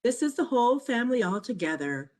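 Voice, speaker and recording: A woman reading her own children's picture book aloud as a storyteller, in an expressive, measured way. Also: on the high side. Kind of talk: scripted storybook narration for children.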